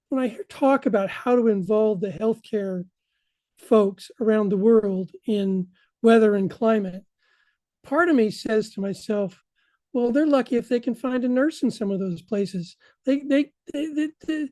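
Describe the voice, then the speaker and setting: An older man asking a halting question on a video call, often repeating words as he goes. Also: high voice, warm tone, no room sound. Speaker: audience member asking a question.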